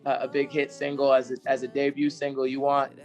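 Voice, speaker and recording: A man with a raspy, slightly hoarse voice, answering interview questions in a relaxed, conversational way. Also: mid-range voice, a little fast, soft background music. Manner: relaxed, conversational, sings snippets. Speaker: interview guest, singer-songwriter. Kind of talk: casual interview chat.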